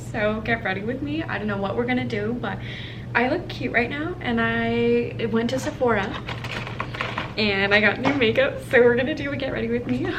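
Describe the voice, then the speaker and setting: A young American woman from Hawaii chatting casually and informally to camera in her apartment, thinking aloud as she does her makeup. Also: a little high. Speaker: video blogger. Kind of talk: casual chat to camera while doing makeup. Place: indoors in an apartment. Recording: clearly noisy home recording.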